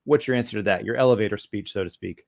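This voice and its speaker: A man's voice: lowish voice, fast, vocal fry. Chatty, joking male podcast co-host. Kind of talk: casual interview hosting.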